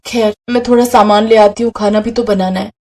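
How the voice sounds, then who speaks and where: A woman's voice: mid-range voice, steady tone, a little fast, darkish tone, close to an everyday voice. A woman voicing a poor, devoted young wife and mother in a moral story, speaking in an earnest, plaintive way. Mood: indignant, alarmed.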